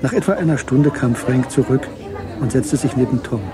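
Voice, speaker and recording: A man narrating a German radio crime drama in a measured, matter-of-fact way. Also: mid-range voice, crowd noise, noisy background. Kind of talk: scripted audio drama narration.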